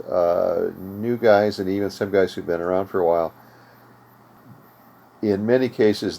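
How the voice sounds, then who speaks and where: An older American man speaks in a deep, low register as he teaches informally. His explanations come in measured, halting stretches with pauses between thoughts. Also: vocal fry, warm tone. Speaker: host of amateur radio tutorial series. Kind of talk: informal instructional talk. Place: home radio room.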